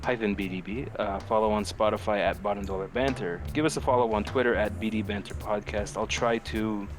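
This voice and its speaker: A man's voice: fairly low pitch, a little fast, over music. A man co-hosting a podcast in casual banter, telling stories with slang, swearing and a ready laugh. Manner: laughing, animated storytelling, heavy slang and swearing. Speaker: podcast co-host.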